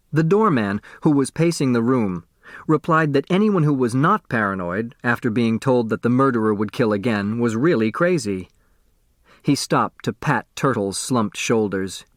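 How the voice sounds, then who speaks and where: A man's voice: medium pitch, dry recording, clean studio sound. A man reading a story aloud as a scripted audiobook narration.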